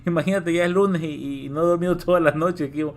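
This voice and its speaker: A man hosting a casual live-stream interview, talkative, with quick asides and frequent restarts. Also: fairly high pitch, steady tone.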